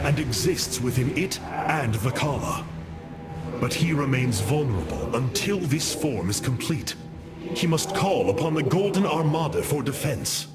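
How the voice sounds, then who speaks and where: A noble male alien commander played by an actor, resolute and formal. His mid-pitched voice carries commanding oratory over a swelling, loud game score. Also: close to an everyday voice. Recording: loud game music underneath.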